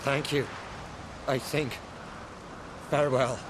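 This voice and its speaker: A man's voice: mid-range voice, fairly even intonation, slow, noisy background, natural voice. A man voicing a confused, tormented island lord in a fantasy video game, sounding dazed and distressed. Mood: dazed, lost.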